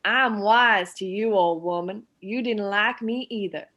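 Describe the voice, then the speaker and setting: A woman playing a bossy, scornful middle-aged daughter-in-law with a sharp, sarcastic, commanding manner in a radio play. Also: medium pitch, a little slow, muffled recording. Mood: scornful, defiant. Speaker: actor in an amateur radio play.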